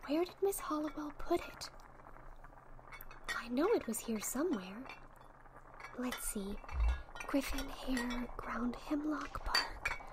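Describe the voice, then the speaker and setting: High-voiced, unhurried young witch, played by a woman, gentle and encouraging ASMR roleplay friend, light background noise. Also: rough recording. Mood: whispered, searching. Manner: soft, patient, encouraging. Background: fizzing, bubbling sound effects.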